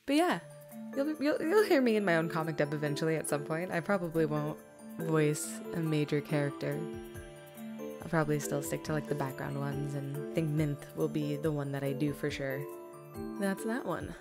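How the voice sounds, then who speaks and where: A woman's voice: low voice, moderate pace. A woman hosting a question-and-answer video, talking informally and reading out questions from viewers. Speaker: host and fan comic-dub creator. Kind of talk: scripted-loose Q&A answers to viewers. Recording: music behind the voice. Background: background music.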